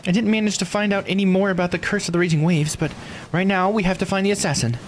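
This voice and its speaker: Man voicing a composed young woman, light and high. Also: fairly even intonation, fast talker, slight background noise, close to an everyday voice. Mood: earnest, concerned. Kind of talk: video game dialogue read aloud.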